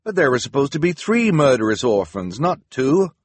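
A man's voice: mid-range voice, sing-song, normal speed, husky, no echo, pitched above the performer's own voice. A man voicing astonished, gullible hospital onlookers in a storybook reading, exclaiming and credulous.

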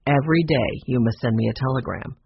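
A storybook narrator voicing a kindly, dignified elderly grandfather, speaking in a slow, measured, gentle way. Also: medium pitch, vocal fry, slightly rough, lo-fi sound, voice pushed down.

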